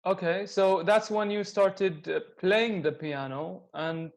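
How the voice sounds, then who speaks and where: A man's voice: high-pitched, slow, creaky. Young male interview host, easygoing and joking, slightly raised voice. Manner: friendly, joking, laughs easily. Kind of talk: casual interview chat.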